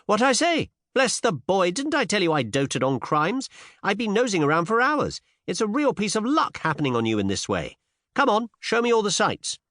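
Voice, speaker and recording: A man's voice: high voice, sing-song, normal speed, fairly bright tone, no room sound, clean studio sound, voice pushed up. A man voicing a cheeky, bold young woman in an audiobook, pert and teasing, with eager curiosity and old-fashioned slang. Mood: eager, excited. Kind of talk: audiobook character dialogue with period slang.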